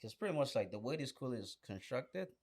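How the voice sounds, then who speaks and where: Slangy man with a medium-pitched voice. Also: fairly quick. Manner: animated, slangy, punctuates with "bruh". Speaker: podcast guest. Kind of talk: casual storytelling chat, slangy.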